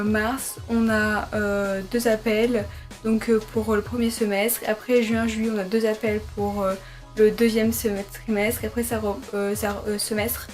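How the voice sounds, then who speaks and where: A young woman, a medical student, answering viewer questions in a casual sit-down vlog from her bedroom, hesitant with many fillers and self-corrections. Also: on the high side. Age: young adult. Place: her bedroom at her parents' home.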